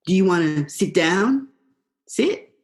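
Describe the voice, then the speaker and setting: A woman's voice: fairly low pitch, animated, takes it slowly. A young woman in a student radio play, playing a laid-back, vengeful male eel with a casual, slangy drawl. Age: young adult.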